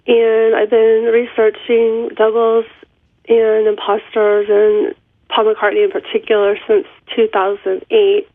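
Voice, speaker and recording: A woman's voice: a little high, completely flat delivery, measured pace, dry recording. A middle-aged woman, congested from a cold, calling in to a radio interview, chatty and laughing between thoughts. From Seattle area. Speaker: author and guest caller. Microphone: telephone. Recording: tinny dry phone line.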